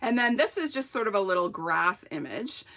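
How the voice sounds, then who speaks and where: Croaky-voiced woman demoing card crafts. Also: medium pitch, big pitch swings, moderate pace, a bit bright. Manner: chatty, self-deprecating, talks while working with hands. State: croaky sore throat. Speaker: card-making demonstrator. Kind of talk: live craft tutorial with viewer shout-outs.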